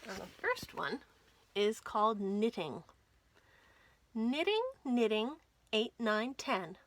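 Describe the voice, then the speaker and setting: A woman hosting a children's storytime, reading stories and nursery rhymes aloud in an expressive, clearly enunciated way for young listeners. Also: fairly high pitch, slow pace, a little hiss or hum, rough recording. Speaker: children's storytime host at a library.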